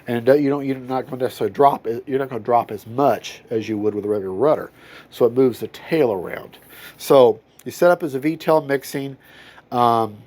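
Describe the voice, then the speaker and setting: A man's voice: mid-range voice, a little mellow. A man, nasal from a cold, giving a folksy, rambling review of a model plane at home. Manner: rambling, self-correcting, folksy. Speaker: RC hobby reviewer. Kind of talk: casual unboxing review. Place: home hobby room.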